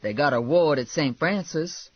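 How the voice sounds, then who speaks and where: A man voicing a brash, sassy, loudmouthed woman with blunt, slangy, indignant talk in an audiobook. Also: a little high, moderate pace, dry recording, highs cut off, lightly acted. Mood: scornful.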